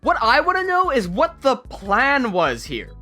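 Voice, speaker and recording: Lively narrator with wry asides, very expressive pitch over faint music. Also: a little slow. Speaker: story narrator. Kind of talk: reading online stories with commentary.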